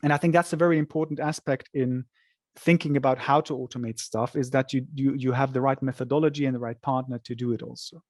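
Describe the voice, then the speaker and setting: A man speaking as a software company representative in a webinar interview, explaining in a measured way and sometimes repeating words as he looks for phrasing. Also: mid-range voice, fairly even intonation, no room sound, clean studio sound.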